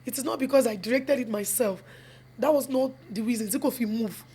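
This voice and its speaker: A woman's voice: fairly high pitch, a little fast, mellow, a little hiss or hum. A young Nigerian woman with a Yoruba accent, a film producer and actress, giving earnest interview answers. She mixes English and Yoruba and repeats phrases for emphasis. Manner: switches between English and Yoruba mid-sentence.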